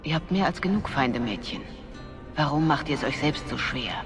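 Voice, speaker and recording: An actress playing a calm, solemn older woman mentor, speaking deliberately and hushed in a German fantasy game. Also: low voice. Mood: solemn, encouraging. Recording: clear music behind the voice.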